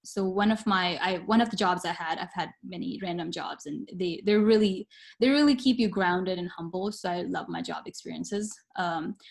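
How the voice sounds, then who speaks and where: Earnest young woman from Pakistan. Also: medium pitch. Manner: earnest, reflective, with hesitant fillers. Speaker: guest, medical student. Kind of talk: interview storytelling.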